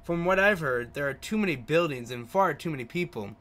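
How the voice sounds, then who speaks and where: Male reader as effusive young country cabbie, visual novel dialogue, bright voice, friendly and talkative. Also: on the high side, animated, a little fast. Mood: chatty. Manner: effusive, chatty.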